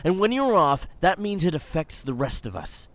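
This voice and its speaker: A man's voice: a little high, normal speed. Man playing a cocky young wasteland companion. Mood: concerned. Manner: earnest, steady, speaks his mind.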